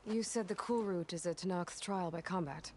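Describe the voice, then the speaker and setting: An actress playing a self-possessed young traveler in a video game, plain-spoken and probing. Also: female voice, fairly low pitch, normal speed, darkish tone, a little hiss or hum, close to an everyday voice. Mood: curious. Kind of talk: video game dialogue acting.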